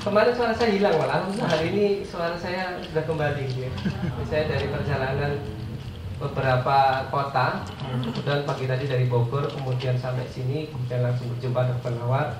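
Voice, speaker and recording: A man's voice: fairly high pitch, steady tone, a little fast. A man in his forties with an Indonesian accent and a stuffy, nasal voice, giving an informal, jokey motivational talk to listeners he treats as friends. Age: 40s. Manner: jokey, self-deprecating asides, addresses listeners as friends. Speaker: guest motivational speaker. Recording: echoey room, far mic, clear background noise.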